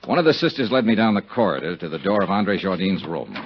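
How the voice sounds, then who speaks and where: An actor playing a wry, hard-boiled American insurance investigator in a 1950s radio drama, clipped and sardonic. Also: male voice, low-pitched, sing-song, quick pace, background noise. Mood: cynical narration. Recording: muffled vintage radio broadcast.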